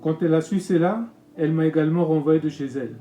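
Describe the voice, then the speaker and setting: A man, a pastor reading his own testimony in a solemn, measured way. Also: a little high, warm tone. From Congo-Brazzaville. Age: 50s. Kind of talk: read personal testimony. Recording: echoey room with music bed.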